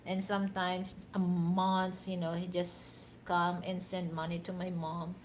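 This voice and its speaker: A woman's voice: on the low side, flat delivery, unhurried, a little hiss or hum. A Filipino woman hosting a livestream, chatting casually and rambling with many "you know" fillers. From Philippines. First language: a Philippine language other than Tagalog. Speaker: livestream host.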